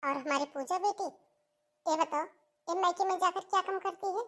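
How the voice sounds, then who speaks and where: A woman voicing a kind, reasonable female relative in a cartoon family drama, with a gentle, concerned and polite manner. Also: very high-pitched, normal speed. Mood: gently reproving.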